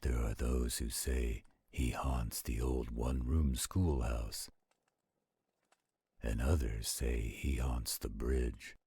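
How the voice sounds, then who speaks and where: A man voicing a protective werewolf, reading a spooky story slowly and suspensefully in a husky, gravelly voice. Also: very deep voice, steady tone, mellow. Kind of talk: slow dramatic ghost story narration, roleplay audio. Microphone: close studio-style microphone.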